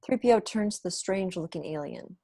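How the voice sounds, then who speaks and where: A woman's voice: medium pitch, animated, fairly quick, dark tone, no echo. A young woman reading stage directions as scripted narration for an online table read. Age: young adult. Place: room at home, on a video call.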